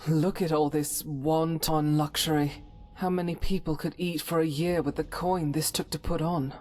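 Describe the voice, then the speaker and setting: A woman voicing a devout, principled warrior companion in a fantasy game, formal, measured and earnest. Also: low voice, fairly even intonation, a little mellow, soft background music, lightly acted. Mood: disapproving. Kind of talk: scripted fantasy game dialogue.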